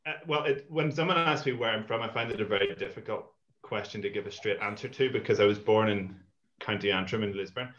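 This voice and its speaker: A man in his thirties speaks in a lowish voice, thoughtful and a little rambling, often restarting his sentences. The sound comes through a muffled, lo-fi video call line. It carries a roomy echo. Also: fast, fairly bright tone. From Ireland and Malawi. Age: 30s. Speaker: guest, community farm founder. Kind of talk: casual interview chat over video call. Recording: echoey, dull lo-fi call audio.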